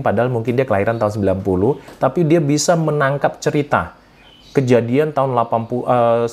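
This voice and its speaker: A man's voice: medium pitch, darkish tone. A middle-aged man from Sumatra with an Indonesian accent, speaking as a webinar guest in an earnest, rambling, conversational motivational style. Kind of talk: informal motivational talk.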